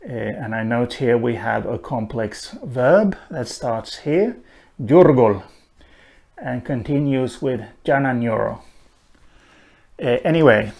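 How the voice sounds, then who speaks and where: Slow, thoughtful man with Swedish roots. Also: mid-range voice, creaky, darkish tone. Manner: slow and thoughtful, with many "uh" pauses. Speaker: language teacher. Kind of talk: unhurried spoken lesson on a language.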